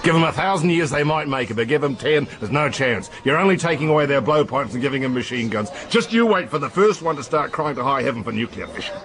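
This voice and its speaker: A man's voice: mid-range voice, expressive, fast talker, few pauses, fairly bright tone. An actor in a radio drama playing a crude, blustering, middle-aged Australian intelligence man who likes his drink and talks in slang. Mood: tipsy, ranting. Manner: brash, slangy, opinionated.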